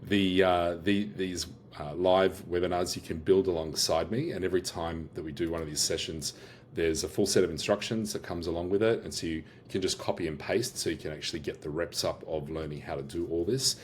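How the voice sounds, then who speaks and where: Very low-voiced Australian man demoing software. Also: steady tone, brisk, slight background noise. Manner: casual, with frequent restarts and filler words. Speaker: host of AI workshops and business owner. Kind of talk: screen-share product demo.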